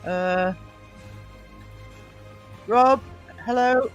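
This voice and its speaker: High-voiced woman, coughing from hay fever. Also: extremely slow, muffled recording, soft background music. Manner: chatty, greets chat by name, lots of fillers and restarts. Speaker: live stream host. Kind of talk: casual live stream chat. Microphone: desk mic. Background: quiet self-made tune playing.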